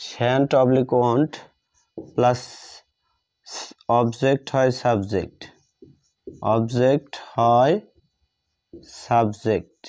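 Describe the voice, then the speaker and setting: A man with a Bengali accent teaches grammar as if dictating, deliberately repeating phrases piece by piece. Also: medium pitch, steady tone, darkish tone. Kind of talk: dictated grammar lesson, written out step by step. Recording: dull lo-fi microphone sound.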